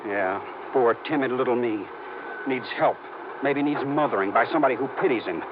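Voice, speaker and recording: A man's voice: medium pitch, brisk, lightly acted. An actor playing a proud, resentful young man in a 1950s radio drama, on a tinny, noisy old broadcast recording. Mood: bitter.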